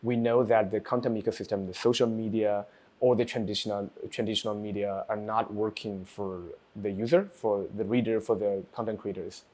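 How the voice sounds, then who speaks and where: A man talks through his work as a developer and researcher. His voice sits fairly low, and he speaks with care, often stopping on a soft um while he picks his next words. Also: steady tone. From China. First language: Chinese. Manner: thoughtful, with frequent pauses and um fillers. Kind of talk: personal project presentation.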